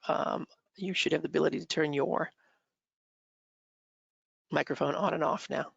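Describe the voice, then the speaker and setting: A man hosting an online session in a casual way, haltingly restating his phrases. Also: on the high side, steady tone, creaky, fairly bright tone, husky, no room sound. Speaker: host, webinar moderator. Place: online video meeting. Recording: dull, dry online-call audio.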